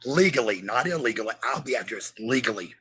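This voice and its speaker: Bright-voiced man on an angry rant. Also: mid-range voice, lively intonation, fast, slightly rough. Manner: combative, heavy swearing, repeats phrases for emphasis. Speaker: parent hosting a livestream.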